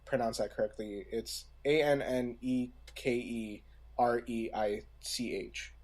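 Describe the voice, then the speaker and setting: A man on a podcast panel, talking casually in a halting way and correcting himself as he goes. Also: mid-range voice, steady tone, a little mellow. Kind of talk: casual unscripted discussion.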